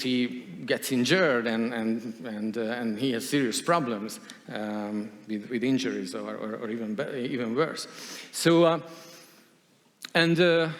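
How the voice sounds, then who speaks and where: Man speaking in a reverberant hall. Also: mid-range voice. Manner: hesitant, many fillers and restarts. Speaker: conference speaker. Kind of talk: conference talk.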